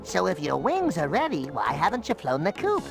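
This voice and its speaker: A man's voice: on the high side, huge pitch swings, normal speed, creaky, over music, heavily acted. A man voicing a goofy, blunt little brother creature in a cartoon video game. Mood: goofy, deadpan.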